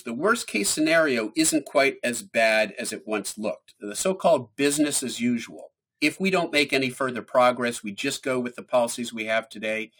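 Husky man explaining science calmly. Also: medium pitch. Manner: measured, explanatory, emphatic on key points. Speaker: climate scientist guest. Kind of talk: explanatory interview answers.

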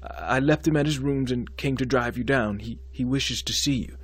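A man's voice: medium pitch, normal speed, slightly rough, dry recording, voice pushed up. A man voicing an anxious young male student who pleads, stammers and keeps saying sir. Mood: nervous.

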